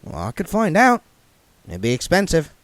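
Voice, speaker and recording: A man's voice: on the high side, wildly swooping pitch, normal speed, no room sound, voice pushed up. A man voicing a bold but polite young male clerk who speaks matter-of-factly.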